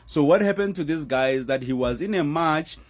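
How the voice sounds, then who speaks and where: A man giving casual, animated commentary on a news story, laughing often and using many fillers. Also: fairly high pitch, fairly quick.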